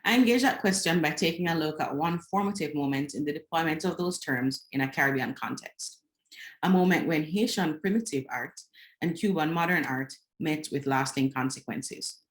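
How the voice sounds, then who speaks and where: A woman presenting an academic paper at a conference, reading from a prepared script. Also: low voice. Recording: roomy, echoing sound.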